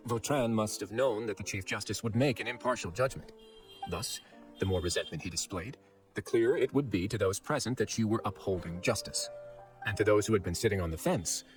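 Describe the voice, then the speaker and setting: Casting: man to play composed fortress warden for a game, fairly deep, smooth-talking, assured and courteous. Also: lightly acted. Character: self-assured, composed adult male prison fortress administrator, shrewd, courteous. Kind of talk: anime game voice acting. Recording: faint background music.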